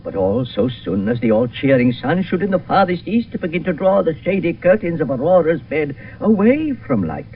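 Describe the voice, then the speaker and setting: A man's voice: on the high side, very animated, normal speed, hardly any pauses, narrow, tinny audio. An actor playing a grave, worried nobleman and father, declaiming verse in a radio Shakespeare play. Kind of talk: 1970s radio Shakespeare acting. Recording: thin, hissy old radio recording, distant.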